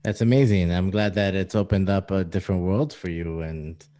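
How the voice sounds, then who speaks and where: A man hosts a casual interview, his voice low and soft-edged. He is enthusiastic and tends to ramble as he asks his questions. A warm, relaxed tone runs through his talk. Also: normal speed. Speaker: podcast host.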